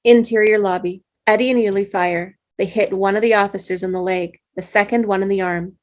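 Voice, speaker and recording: A woman reading a screenplay's stage directions aloud at a table read, steady with small slips. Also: mid-range voice, a little fast.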